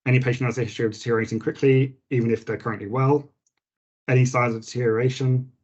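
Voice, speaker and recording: A man giving an informal clinical teaching session to nurses, his voice slightly croaky, with frequent fillers and restarts. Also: mid-range voice, level tone, fast, a little mellow, highs cut off.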